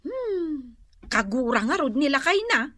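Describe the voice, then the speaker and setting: Casting: chatty, teasing rural gossip for radio comedy; high, unhurried, drawling voice. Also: female voice, big pitch swings, a bit bright, dry recording, clean studio sound, heavily acted. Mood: gossipy. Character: teasing, chatty village woman who loves gossip. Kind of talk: comedy radio drama acting.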